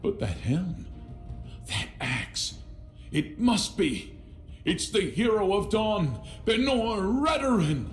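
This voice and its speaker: Overdramatic frightened guard, wildly swooping. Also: male voice, high-pitched, very animated, slow, heavily acted. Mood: alarmed, awed. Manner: dramatic, awestruck. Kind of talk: video game character acting. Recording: roomy sound with faint music.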